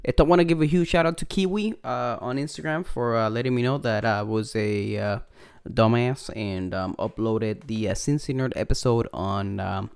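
Rambling Puerto Rican man, smooth voice. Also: medium pitch, normal speed. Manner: rambling, profane, with many fillers. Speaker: podcast host. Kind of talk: casual podcast intro.

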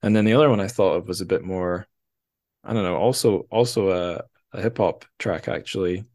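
A man's voice: deep voice, a little fast, darkish tone. A man hosting a podcast, talking casually and rambling with lots of fillers and self-deprecating asides. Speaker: podcast host. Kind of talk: casual chat.

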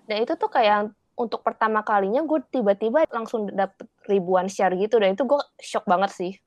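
A woman's voice: medium pitch, fairly quick, a little mellow, no room sound. Chatty young woman. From Indonesia. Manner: chatty, laughs often, mixes in English words. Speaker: guest. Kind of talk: casual podcast chat.

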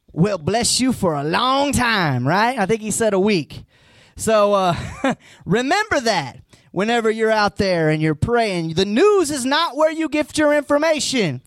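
A hoarse pastor, preaching a live sermon with folksy asides and emphatic, rising lines. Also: male voice, very high-pitched, a bit bright, no echo, studio quality.

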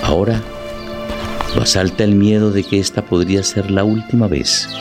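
A man narrating a literary drama in a solemn, deliberate way, with music behind his voice. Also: lowish voice, steady tone.

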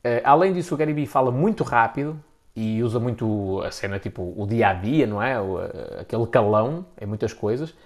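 A man's voice: medium pitch, lively intonation, brisk. A man with a European Portuguese accent giving informal, opinionated advice in a blunt, slangy, emphatic way, often repeating himself for emphasis. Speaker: content creator giving business advice. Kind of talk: informal opinionated talk to an audience.

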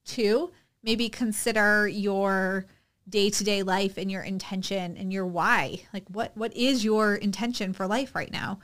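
Woman, casual podcast chat, reflective, hesitant fillers, relaxed delivery. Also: medium pitch, a little slow. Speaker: podcast co-host.

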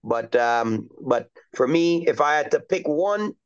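A middle-aged man from Trinidad and Tobago, a soccer coach talking as a guest in a relaxed, rambling way with lots of fillers. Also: on the high side, measured pace, clear, resonant tone. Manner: easygoing, rambling with many fillers. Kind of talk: casual interview chat. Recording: dull lo-fi recording.

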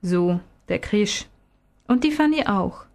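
Woman reading as a patient, gently probing father. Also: medium pitch, expressive, takes it slowly. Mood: questioning. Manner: questioning, explaining patiently.

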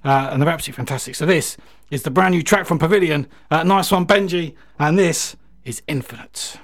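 A British man presents a music show with chatty enthusiasm. His voice sits fairly high for a man and he talks very quickly, piling on emphatic praise in a casual style. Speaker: radio music show host.

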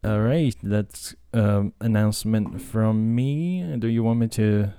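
A man's voice: fairly low pitch, slow, dark tone, clean tone. A man from Japan with a Japanese accent, talking casually and hesitantly on his own podcast, with many fillers and restarts. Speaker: podcast host and language tutor. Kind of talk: casual solo talk.

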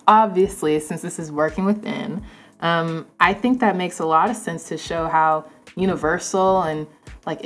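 Female interview host, low voice, informal and halting delivery, light music in the background. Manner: informal, halting, frequent "like" asides. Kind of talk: casual conversational hosting.

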